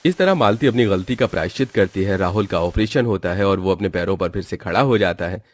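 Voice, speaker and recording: A man's voice: sits low, animated, a little fast, no echo. A man narrating a scripted moral cartoon story as its storyteller. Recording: music bed behind the voice.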